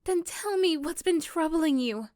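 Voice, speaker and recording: A woman's voice: extremely high pitch, lively intonation, unhurried, darkish tone, no echo, studio quality. A woman voicing a possessive yandere girlfriend in an ASMR roleplay, coaxing and pleading with tender pet names. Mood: desperate, pleading.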